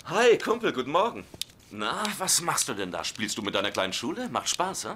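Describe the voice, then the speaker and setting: An actor playing a playful, easygoing young husband in a dubbed sitcom, with a jokey manner. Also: male voice, mid-range voice, brisk, a little hiss or hum. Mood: cheerful. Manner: jokey, excitable.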